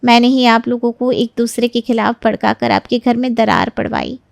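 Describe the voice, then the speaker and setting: A woman acts out a meddling neighbour for a dramatized story. She is sly and gossipy, talking persuasively in a fairly high voice, recorded dry and close. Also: fairly even intonation, moderate pace, vocal fry, no room sound. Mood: remorseful. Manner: insinuating, persuasive. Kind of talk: Hindi audio story character acting.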